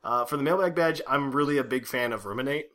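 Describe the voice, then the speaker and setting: A man's voice: medium pitch, fast talker, fairly bright tone. A man co-hosting a podcast, reading a listener's long email aloud and chatting casually with his co-host.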